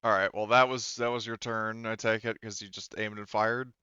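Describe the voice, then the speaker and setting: A man's voice: lowish voice, steady tone, moderate pace, bright, forceful tone, smooth, no room sound, dull audio. A man casually running a tabletop roleplaying game as game master, thinking aloud as he narrates.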